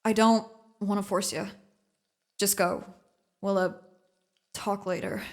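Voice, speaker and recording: A woman voicing a timid, pleading female ewe in an audio drama, speaking hesitantly and gently. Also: medium pitch, fairly even intonation, slow. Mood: sad, resigned.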